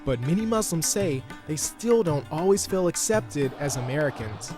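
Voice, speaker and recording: Man, news narrator, measured delivery, slightly high voice, faint music behind. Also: animated. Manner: measured, informative. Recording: voice-over with soft music underneath.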